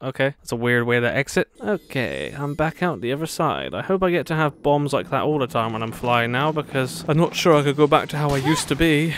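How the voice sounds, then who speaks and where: A man's voice: mid-range voice, animated, fairly quick, hardly any pauses. A man giving casual gameplay commentary with playful, sardonic asides and bits of sing-song babble. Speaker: gamer commentating a playthrough. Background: game music.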